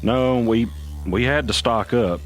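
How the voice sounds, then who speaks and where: An actor playing a man who narrates a tense mystery in the first person, deliberate and confiding, for an audio drama. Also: medium pitch, natural voice. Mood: grim. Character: weary male narrator recounting a tense mystery. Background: music underneath.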